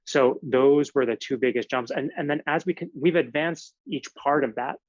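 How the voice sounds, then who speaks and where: A man whose first language is Italian talks as a podcast guest, at a middle pitch, explaining earnestly and piling up fillers and restarts. The sound is dry and a little dull, like a modest lo-fi setup. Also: normal speed, dry recording. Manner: earnest, explains in long hedged runs with fillers. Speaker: naturopathic physician guest. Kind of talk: casual podcast interview.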